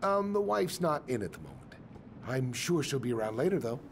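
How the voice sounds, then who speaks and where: An actor playing a courteous, chatty, slightly awkward middle-aged shopkeeper in a video game. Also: male voice, medium pitch, wildly swooping pitch, fairly quick, noisy background. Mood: awkward, polite. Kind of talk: naturalistic video game acting.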